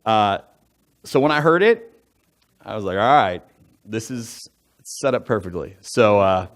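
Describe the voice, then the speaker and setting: A man in his forties, an elder from the United States, telling his life story to a church congregation in a relaxed, conversational way, full of asides and jokey fun facts. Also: fairly low pitch, measured pace. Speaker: church elder giving a testimony. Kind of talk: personal testimony talk from the pulpit. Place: church sanctuary.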